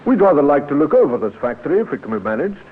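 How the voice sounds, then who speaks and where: An actor playing a firm, dry British police inspector in a radio drama, clipped and politely insistent. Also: male voice, on the high side, big pitch swings, very fast. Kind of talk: 1950s radio drama acting. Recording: narrow, noisy vintage radio recording.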